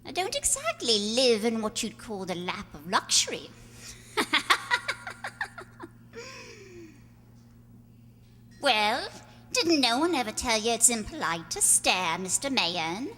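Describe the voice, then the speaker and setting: A woman's voice: fairly high pitch, big pitch swings, moderate pace, sharp tone. A woman playing a bitter, vengeful, scarred slum woman in an amateur radio play, coarse and taunting, in a reverberant hall. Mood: sly, wary. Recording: reverberant hall, faint noise and hum.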